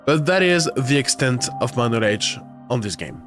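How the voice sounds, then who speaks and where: A young man streaming a video game, talking casually with fillers and swearing, his voice rough and congested from a nasty cold. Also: medium pitch, sing-song, moderate pace, music in the background. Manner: chatty, swearing, self-mocking, with filler sounds. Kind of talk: casual live-stream chat.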